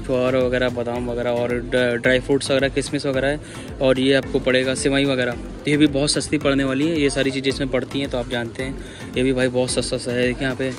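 A North Indian man talks through a casual shopping vlog in a mid-pitched, flat voice, with music clearly playing behind him. He speaks to viewers like buddies and circles back over the same phrases as he walks a large store with his camera. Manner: repetitive, addresses viewers as buddies. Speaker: vlogger. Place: large busy supermarket, indoors.

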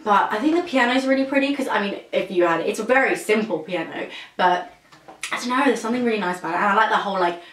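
A woman's voice: mid-range voice, fast talker, fairly bright tone, roomy sound. A young British woman chatting casually to camera at home, rambling and poking fun at herself. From Britain. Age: young adult. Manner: chatty, rambling, self-mocking. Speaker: singer and vlogger. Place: small room indoors at home.

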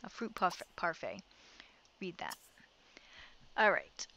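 A woman's voice: lowish voice, big pitch swings, slow, creaky, pushed and bright. A woman casually commenting as she plays a life-simulation game, thinking aloud and giving her characters directions. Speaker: let's play host.

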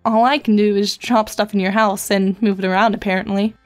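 A woman voicing a dry, precocious teenage girl in a web comic dub, speaking in a measured, formal, deadpan way. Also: mid-range voice, faint music behind, close to an everyday voice. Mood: matter-of-fact.

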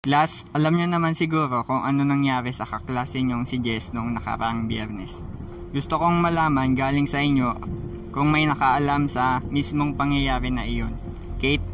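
A man's voice: medium pitch, moderate pace, noisy background, close to an everyday voice. A man playing a stern schoolteacher who questions formally and probingly in a student radio drama. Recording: distant microphone, music underneath.

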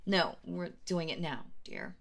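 A woman performs a cool, possessive female boss in an intimate ASMR roleplay. Her voice sits a little low and moves very slowly, hushed and deliberate. She is commanding and flirtatious, addressing the listener with total composure. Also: very animated, creaky, heavily acted. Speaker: voice actress.

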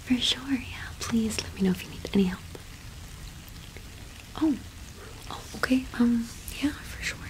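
A woman in her twenties doing an ASMR roleplay as a cottage witch shopkeeper, speaking softly and unhurriedly with hesitant pauses, close to the microphone. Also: on the high side, low-quality recording. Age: 20s. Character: friendly solitary cottage witch keeping a small shop.

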